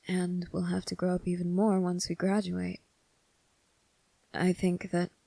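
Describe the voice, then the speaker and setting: A woman playing a kind, earnest teenage boy cadet in an ASMR roleplay, speaking gently, politely and with some hesitation. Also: on the low side, dry recording.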